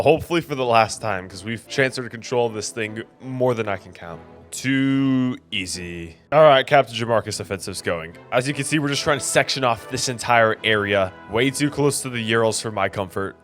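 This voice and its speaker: Excitable young man doing game commentary. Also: mid-range voice, normal speed. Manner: excitable, joking, slangy. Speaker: gaming YouTuber. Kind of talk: casual gameplay commentary. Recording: faint background music.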